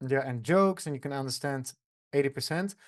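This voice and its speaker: A man's voice: medium pitch, fairly quick. A man, chatting casually as a language teacher in an agreeable, encouraging way. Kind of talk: casual chat.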